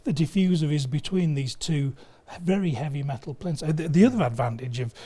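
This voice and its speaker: A man's voice: a little high, fairly quick, warm tone, slightly rough. A man giving an enthusiastic product explanation in conversation, emphatic and fond of everyday analogies. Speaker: loudspeaker company sales director, guest. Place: video studio.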